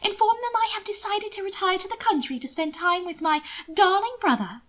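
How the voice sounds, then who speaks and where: Woman performing aristocratic twin sister, high, very animated, doting, manipulative, affected, audiobook character. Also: a little fast. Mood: breezy, scheming. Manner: gushing, affected endearments, coaxing. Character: manipulative, doting upper-class young lady, twin sister.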